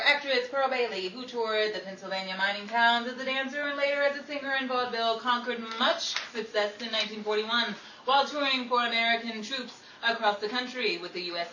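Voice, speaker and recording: A woman's voice: fairly high pitch, bright, forceful tone. A woman playing a showy 1940s Hollywood radio newscaster, reading scripted trivia in a theatrical style. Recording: roomy, distant mic with faint noise.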